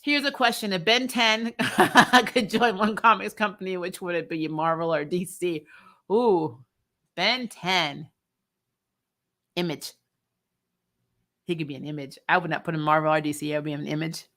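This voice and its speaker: A woman's voice: fairly low pitch, normal speed, pauses often, sharp tone. A woman hosting a recap show in casual chat, giving blunt, opinionated commentary with salty language and frequent laughter. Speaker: host of a comics and TV recap show. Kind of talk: casual chat, reviewing superhero shows and answering viewer comments.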